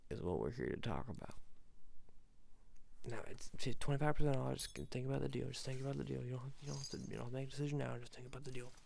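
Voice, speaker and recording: A man playing a folksy, rambling ring salesman in an ASMR roleplay, speaking in a gravelly, breathy half-whisper and repeating his gentle pitch. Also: fast, slight background noise, close to an everyday voice. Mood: gently persuasive. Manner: rambling, repetitive, folksy, soft-pedalled selling.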